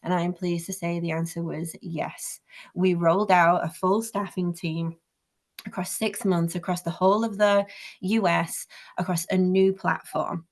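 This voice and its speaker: Mancunian woman presenting a webinar, low-voiced. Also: steady tone, a little slow. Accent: Manchester English. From England. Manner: earnest, careful, self-correcting. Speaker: webinar presenter and company founder. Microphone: video call.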